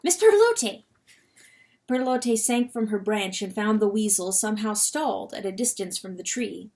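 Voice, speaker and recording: A woman's voice: medium pitch, normal speed. Woman narrating an animal tale dramatically. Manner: expressive, suspenseful delivery. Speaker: audiobook reader.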